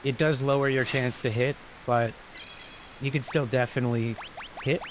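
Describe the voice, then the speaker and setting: A man's voice: mid-range voice, fairly even intonation, normal speed. Man casually commentating a video game. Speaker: gameplay commentator. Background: game music.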